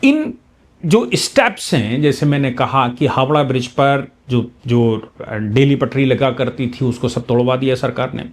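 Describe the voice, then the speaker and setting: A man's voice: medium pitch, animated, a little slow. A man giving explanatory commentary on current affairs like a law teacher, emphatic and repeating phrases for weight.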